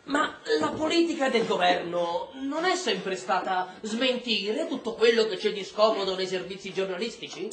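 A man performs an embittered army colonel in a radio drama, sardonic and quick to flare up. His voice is bright and forceful with lively, swinging pitch, heard in an echoey room. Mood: sardonic, polite. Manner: sardonic, mock-polite, prone to flaring up. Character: embittered, volatile male army colonel running a prison camp. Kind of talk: stage-style radio drama acting.

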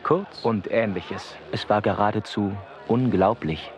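An actor playing a smug, formal 1930s newspaper reporter, reading reports precisely in a radio play. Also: male voice, lowish voice, a little slow, natural voice.

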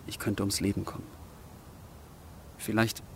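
Young gentleman in love, lowish pitch, earnest, formal, grieving, radio play, background rumble. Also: level tone, a little slow, creaky. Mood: grave, resigned. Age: young adult. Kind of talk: German radio drama acting.

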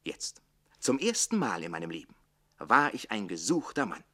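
A man playing a witty, self-pitying barber-servant in a radio drama, declaiming theatrically between exuberance and hushed lament. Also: lowish voice, big pitch swings, normal speed. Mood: boastful, animated.

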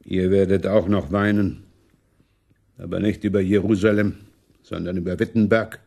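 A man's voice: low voice, steady tone, moderate pace, creaky, warm tone. A man acting a money-minded, imperious German prince in a radio play, sardonic and complaining in manner. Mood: indignant.

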